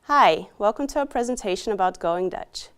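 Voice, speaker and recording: A woman's voice: mid-range voice, expressive, normal speed, clear, resonant tone. A woman reading a polite, welcoming scripted introduction for a group of universities.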